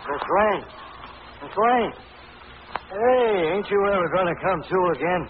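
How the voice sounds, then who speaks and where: A man's voice: high-pitched, animated, a little slow. An actor playing a folksy, casually menacing henchman with a drawling rural American accent in an old-time radio drama. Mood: folksy, teasing. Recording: noisy, tinny vintage radio recording.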